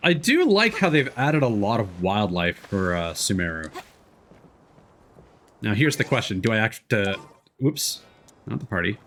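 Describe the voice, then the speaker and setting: A man doing casual, chatty live game commentary, quick to react out loud. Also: lowish voice, very animated. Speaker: game livestreamer, host.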